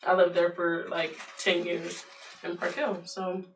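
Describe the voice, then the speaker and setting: A young host from Aurora, Colorado, leading a casual group chat over food, upbeat and quick with affirmations. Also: mid-range voice, completely flat delivery, a bit bright. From Aurora, Colorado, USA. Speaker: host asking the questions. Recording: distant mic, faint noise.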